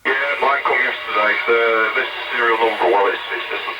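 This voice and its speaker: A man's voice: mid-range voice, fairly even intonation, fairly quick, loud music behind the voice. A man chatting casually as a radio operator over a CB transmission. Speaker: radio operator, test partner. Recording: distant, very noisy CB radio signal. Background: loud radio hiss and noise.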